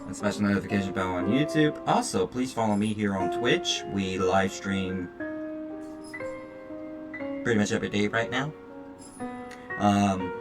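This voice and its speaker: A man doing casual let's-play commentary as a game streamer, reading visual novel text aloud with foul-mouthed, irreverent asides. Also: fairly low pitch. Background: game music.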